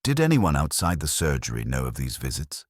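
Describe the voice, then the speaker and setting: A man voicing a calm, shrewd, philosophical police inspector in a detective story, speaking in a measured, grave way. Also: rumbling low, no room sound, clean studio sound, exaggerated voice. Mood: grave, probing. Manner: measured, aphoristic, quietly grave. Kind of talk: detective audiobook character reading.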